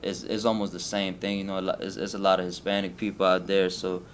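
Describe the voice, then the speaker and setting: A young rapper with a Colombian accent talks in a low, easygoing voice. He stumbles and restarts his sentences as he answers casual questions. A faint rumble runs under the recording. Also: little pitch movement. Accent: Colombian-Spanish. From Colombia, raised in Miami. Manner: laid-back, halting, many restarts and fillers. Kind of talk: casual interview.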